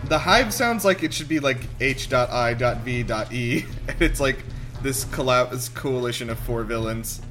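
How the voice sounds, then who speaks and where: A man's voice: medium pitch, moderate pace, fairly bright tone. A man co-hosting a casual movie reaction, joking and asking incredulous questions. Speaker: reaction show co-host. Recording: music and film audio behind voice. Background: film soundtrack music.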